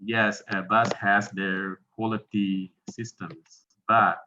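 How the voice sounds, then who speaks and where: Slow hesitant man with Indonesian accent. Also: lowish voice, little pitch movement, pushed and bright, dull audio, a little hiss or hum. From Bali, Indonesia. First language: Balinese. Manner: hesitant, many fillers and restarts. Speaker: guest speaker, aircraft technical representative. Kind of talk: webinar talk to students. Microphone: video call.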